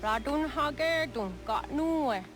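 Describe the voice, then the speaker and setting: A woman playing a grave village woman in a video game, speaking in a deliberate manner. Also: high voice, faint music behind. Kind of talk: scripted video game cutscene acting.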